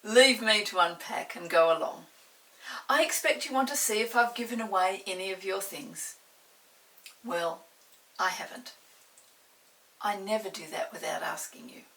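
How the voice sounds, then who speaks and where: A woman voicing a kindly, brisk mother of two schoolchildren in a storybook, speaking loudly and affectionately. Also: medium pitch. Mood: brisk, firm. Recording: hissy, distant room microphone.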